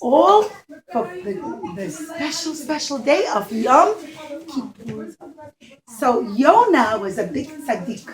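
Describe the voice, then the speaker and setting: A woman's voice: high voice, sing-song, takes it slowly. A woman telling a puppet story to young children, speaking simply and directly to them with plenty of repetition.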